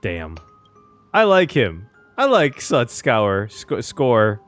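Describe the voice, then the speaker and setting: A man's voice: medium pitch, wildly swooping pitch, slow pace, faint music behind. A man doing casual live reaction commentary on a game as a streamer, slangy and sweary, often repeating his phrases.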